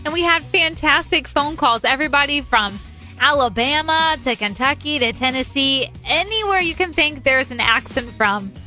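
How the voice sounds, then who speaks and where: A woman co-hosting a radio morning show, friendly, with quick replies and frequent laughs. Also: high-pitched, animated, pushed and bright, soft background music.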